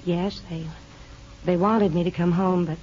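An actress in a 1950s radio drama playing an earnest, hesitant young hospital aide from a farm. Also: female voice, on the low side, fairly even intonation, a little mellow, lightly acted. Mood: guarded, earnest. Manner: hesitant, halting, restarts phrases. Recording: muffled, noisy old radio broadcast.

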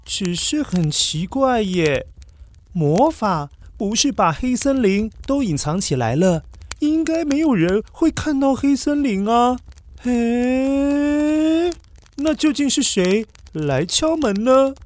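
A man narrating a children's story in a gentle, coaxing storyteller manner, addressing the young listener. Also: very high voice, sing-song, slow. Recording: background music under narration.

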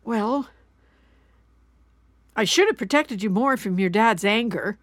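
A woman's voice: medium pitch, animated, slow pace, no room sound, clean studio sound. A middle-aged woman playing an anxious, apologetic mother who rambles and frets in a naturalistic audio drama. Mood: apologetic, rambling. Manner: rambling, fretful, self-blaming.

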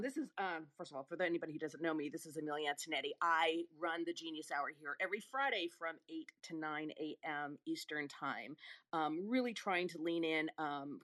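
An excited woman from Italy co-hosts a live audio show, gushing over her guest with plenty of fillers and restarts. Her voice sits slightly low. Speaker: show co-host. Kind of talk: casual chat.

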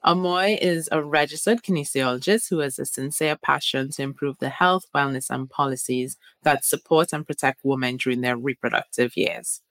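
A woman hosting a podcast who introduces her guest and asks friendly questions with eager excitement. Her voice is bright and forward. The sound is close and dry. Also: extremely low pitch, normal speed, hardly any pauses, no room sound. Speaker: podcast host. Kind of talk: podcast interview.

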